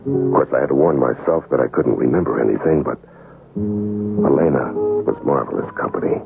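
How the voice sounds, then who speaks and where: An earnest man searching for his lost identity in a vintage radio drama. His voice is very low with a creaky edge, swinging widely in pitch as he speaks in a measured, hesitant way. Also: big pitch swings, exaggerated voice. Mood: reflective, tender. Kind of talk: 1970s radio drama acting, narration and dialogue. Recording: muffled vintage radio recording, faint music.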